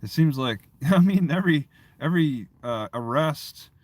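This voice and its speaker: A man's voice: a little high, animated, dry recording. A man chatting casually on a livestream, rambling and often restarting his phrases. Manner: halting, repeats and restarts phrases. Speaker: live streamer.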